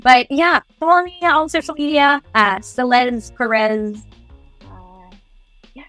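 A woman's voice: high-pitched, steady tone, slow, fairly bright tone, no echo, faint music behind. A young adult woman chatting enthusiastically and casually as an interview guest, giving advice on a video call. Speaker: guest voice actor. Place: small indoor room, on a video call.

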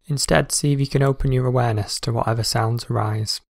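Male meditation guide, mid-pitched voice, calm and measured, scripted instruction, close dry recording. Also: vocal fry, dry recording.

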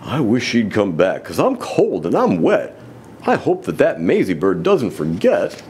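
A huge, kind-hearted elephant who speaks low, earnest and steady, as a man plays him. He talks slowly and plainly, with a lot of lift and fall. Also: a little hiss or hum. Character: big, gentle, faithful male elephant. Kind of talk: storybook read-aloud.

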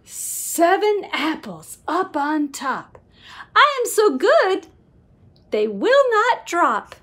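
Middle-aged female storyteller for a kids' picture book, very animated range, unhurried and sing-song. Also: very high-pitched. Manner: expressive, sing-song, slow, with whispered asides. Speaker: children's book reader. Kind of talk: animated read-aloud of a picture book for young children. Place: small room at home.

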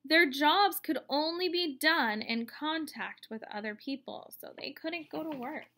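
Bright, high-pitched woman reading to children. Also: a little slow. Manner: expressive, explanatory, addressing young listeners. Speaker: teacher reading a children's book aloud. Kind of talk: read-aloud storytelling for children with asides. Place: room at home, indoors.

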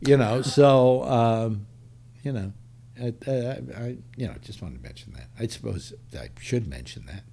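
Older man, rambling host, halting restarts, webcam on a home video call. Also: mid-range voice, expressive, creaky, warm tone, a little hiss or hum. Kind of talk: casual chat on a video call.

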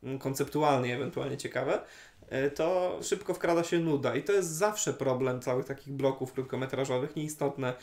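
A man's voice: mid-range voice, normal speed, darkish tone. A young adult man giving his opinions in a casual review discussion. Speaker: film festival reviewer. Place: small living room, indoors, on a couch. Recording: roomy echo.

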